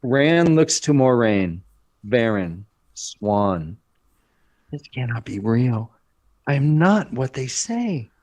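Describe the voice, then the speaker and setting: A man narrating a screenplay aloud in a dramatic, deliberate scripted style. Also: medium pitch, sing-song, darkish tone.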